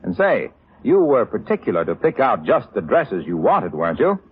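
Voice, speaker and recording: A man's voice: lowish voice, huge pitch swings, normal speed, husky. A man giving scripted announcements and narration in a polished 1940s radio style. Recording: narrow-band noisy old radio broadcast.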